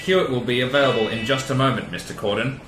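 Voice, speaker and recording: An actor playing a polite underworld attendant in an audio drama, courteous and formal. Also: male voice, medium pitch, fast talker, lightly acted. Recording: roomy, faint music behind.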